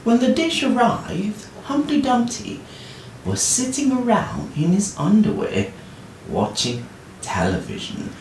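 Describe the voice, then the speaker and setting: A woman reading a children's story expressively as a teacher, with deliberate phrasing and dramatic pauses. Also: a little high, big pitch swings, a little mellow. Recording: roomy, distant, faint noise.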